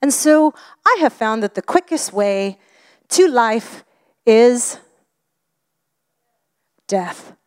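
High-voiced woman preaching, hushed to emphatic. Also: extremely slow, long pauses. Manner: expressive, often drops to a whisper. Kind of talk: sermon.

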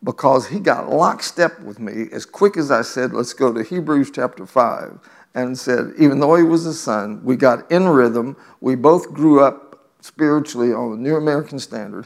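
Gravelly-voiced male preacher. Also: medium pitch, moderate pace. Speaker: pastor. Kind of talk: sermon.

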